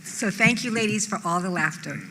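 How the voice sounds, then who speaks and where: A woman's voice: mid-range voice, a little fast, bright, forceful tone. A woman presenting an award from a theatre stage with scripted remarks. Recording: echoing hall, faint noise.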